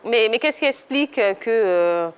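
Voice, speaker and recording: A woman's voice: on the high side, expressive, moderate pace, slight background noise. A woman hosting a football talk show, cheerful and playful. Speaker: TV host.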